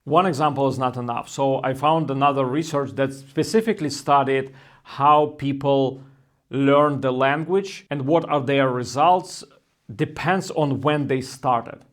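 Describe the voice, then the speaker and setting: A man in his thirties, hosting a language-learning channel, talking through research in a steady, thoughtful way with non-native phrasing. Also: medium pitch. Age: 30s. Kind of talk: explainer talk to camera.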